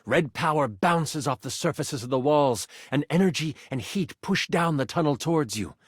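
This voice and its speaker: A game master telling a fantasy quest in a video game. His delivery is theatrical and suspenseful with playful asides, in a very lively pitch and a dry, close recording. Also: normal speed, husky, no echo. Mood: dramatic, suspenseful. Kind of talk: theatrical tabletop fantasy narration.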